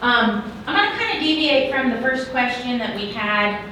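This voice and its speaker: A woman's voice: a little high, big pitch swings, moderate pace, sharp tone, slight background noise. A woman moderating a conference panel in a chatty, joking, self-deprecating way. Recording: echoey hall, far from the mic.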